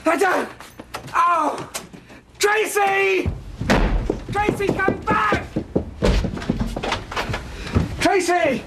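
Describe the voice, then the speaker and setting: An actor playing a bitter, self-pitying middle-aged stepfather in a TV soap drama, who swings between shouting and pleading. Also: high-pitched, big pitch swings, fairly quick, slight background noise. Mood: furious. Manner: flares into shouting, then pleads.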